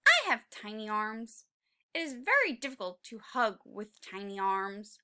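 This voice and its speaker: A woman's voice: a little high, big pitch swings, a little slow, pushed and bright, voice pushed down, heavily acted. A woman voicing a tiny, earnest young male T. rex in a picture book, determined and emphatic.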